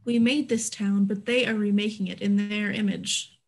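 A woman's voice: mid-range voice, steady tone, normal speed, lightly acted. A woman in a play reading, voicing a candid, proud businesswoman of an Old West town. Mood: guarded, proud. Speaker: actor in an online staged reading. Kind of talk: scripted stage play reading. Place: indoors at home, on a group video call.

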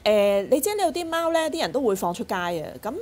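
A woman's voice: on the high side, sing-song, fast, a little mellow. A woman with a Hong Kong Cantonese accent telling personal stories in casual chat as a podcast co-host, acting out the dialogue.

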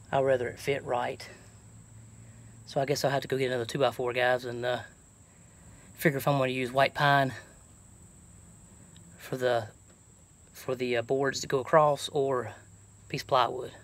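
A middle-aged man with a Southern American accent talks casually into a handheld phone. He has a folksy way of thinking out loud as he works, at an average pitch for a man. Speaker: truck camping vlogger. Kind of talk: casual DIY chat to camera. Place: outdoors and inside a truck bed by an open garage. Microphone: handheld phone at arm's length.

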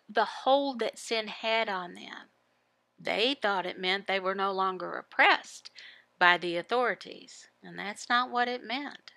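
Slow, bright-voiced woman teaching scripture. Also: on the high side, creaky, dry recording. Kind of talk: Bible teaching, voiced devotional.

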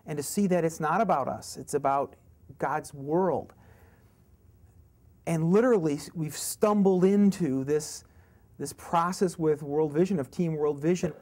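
A man in his thirties, a pastor, speaking in a halting, faith-filled way while seated on a living-room sofa. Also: fairly high pitch, darkish tone. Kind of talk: testimonial interview.

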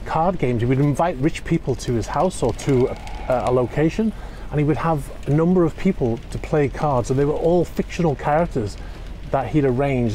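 A man's voice: mid-range voice, warm tone, slight background noise. A man in his forties talking casually into a phone held at arm's length on a cold marina dock, rambling with lots of fillers and restarts. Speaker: yacht vlogger. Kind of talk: casual walk-and-talk vlog. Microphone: phone held at arm's length, selfie framing.

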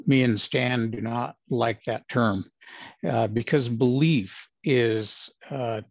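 A man in his sixties, a retired ranger, telling his stories in a measured, plain-spoken way with many fillers. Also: medium pitch, no room sound. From Los Angeles, United States. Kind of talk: casual interview storytelling.

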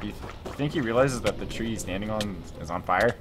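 A man's voice: on the low side, brisk, background noise. A young man in casual game roleplay chatter, nervous and stammering as he improvises. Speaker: gamer in a roleplay series.

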